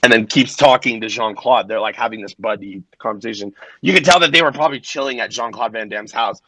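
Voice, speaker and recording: A man's voice: on the low side, sharp tone, dry recording, rough recording. A man in his late twenties, a DJ, chatting casually and telling rambling, profane stories. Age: 20s. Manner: rambling, profane storytelling with quick impressions. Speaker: DJ guest. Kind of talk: casual podcast chat.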